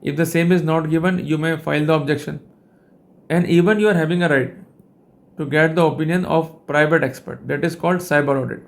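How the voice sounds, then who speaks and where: A man with an Indian accent and a slightly high voice delivers a legal lecture. His phrasing is formal and wordy, and he explains things like a teacher setting out rules. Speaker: legal educator and host.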